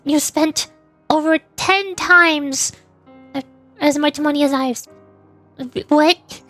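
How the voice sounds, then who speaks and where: A woman livestreaming a game, chatting casually in an informal, rambling way. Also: sits high. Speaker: VTuber game streamer.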